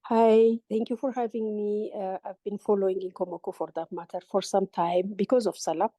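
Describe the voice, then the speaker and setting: A woman's voice: medium pitch, fairly even intonation, brisk, dark tone, no room sound. A middle-aged woman from Ethiopia, a guest telling her life story in an interview, earnest and reflective. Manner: earnest, reflective, retells with quoted dialogue. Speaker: coffee business manager, guest.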